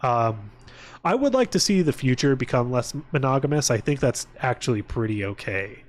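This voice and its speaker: A man's voice: mid-range voice, big pitch swings, normal speed. A man chatting casually on a livestream, informal and sweary, often interrupting himself and drifting onto tangents. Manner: profane, informal, tangent-prone, self-interrupting. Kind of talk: casual rambling livestream chat.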